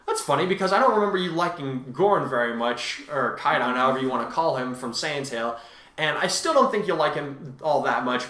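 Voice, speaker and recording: A man's voice: mid-range voice, pushed and bright. A man with a mid-range voice, co-host and author. He talks casually and narrates his story as a dramatic reading. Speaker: co-host and fan fiction author.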